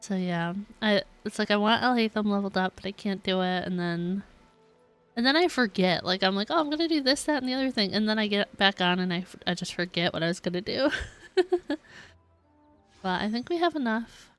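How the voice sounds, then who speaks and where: A woman's voice: mid-range voice, lively intonation, a little fast, a bit bright, smooth. Female streamer, casual and chatty, rambling live gameplay talk with lots of "like", light music underneath. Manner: rambling, giggly, hedging with frequent "like". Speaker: game streamer. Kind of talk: casual live-stream chat while playing a game. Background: game music.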